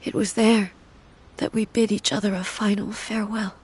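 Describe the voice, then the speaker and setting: A woman voicing a solemn, grieving divine ruler in a game, speaking softly and measuredly, often close to a whisper. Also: medium pitch, flat delivery, normal speed, slight background noise, lightly acted. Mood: sorrowful. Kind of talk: game cutscene voice acting.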